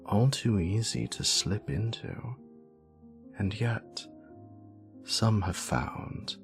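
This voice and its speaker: Guy doing a grumpy british wizard professor, creaky voice, swoops around a lot, wry and dramatic fantasy lore monologue. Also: on the low side, lively intonation, soft background music, full character voice. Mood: ominous, relishing. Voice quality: gravelly, creaky. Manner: wry, theatrical, savouring words with dramatic pauses. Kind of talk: scripted in-character lore monologue.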